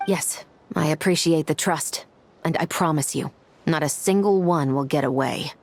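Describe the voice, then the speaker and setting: A woman's voice: deep voice, normal speed, darkish tone, a little hiss or hum. A woman playing a confident, skeptical investigator in an anime game, speaking in an assured, pointed way. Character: confident, skeptical female investigator, businesslike and sharp.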